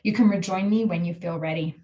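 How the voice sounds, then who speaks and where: A woman guiding a relaxation meditation, giving calm, soothing, measured instructions. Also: fairly low pitch, muffled recording. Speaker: yoga nidra guide.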